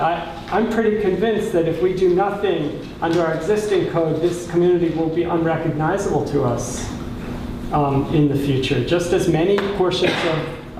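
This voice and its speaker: A soft-voiced man addresses a council meeting in a slightly high register. He is thoughtful and halting, often searching for the right word. The room is very reverberant, with clear noise behind him and the microphone some way off. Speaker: borough councilor speaking at a caucus meeting. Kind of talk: extended remarks at a public meeting. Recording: echoey room, distant mic, clear background noise.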